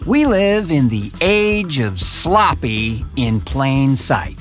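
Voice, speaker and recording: A man's voice: medium pitch, very animated, slow pace, over music. A man announcing in a radio promo style.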